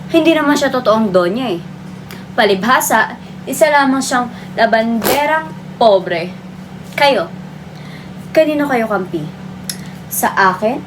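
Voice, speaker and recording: A woman playing a vain, pompous high-society doña in a theatrical monologue, scornful and scolding. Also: background noise. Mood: indignant, disdainful.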